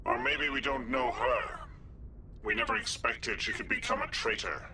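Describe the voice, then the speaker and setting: An actor playing a stern, suspicious alien councilor in a game, formal and accusing. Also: male voice, medium pitch, normal speed, pushed and bright, a little hiss or hum.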